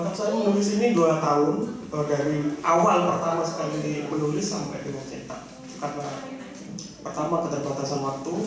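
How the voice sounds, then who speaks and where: A man from Indonesia speaking at a writing seminar, telling his story with many fillers and self-deprecating jokes. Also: fairly high pitch. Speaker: guest speaker, novelist. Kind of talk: casual talk at a seminar. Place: seminar room. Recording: echoey room, distant mic, noisy.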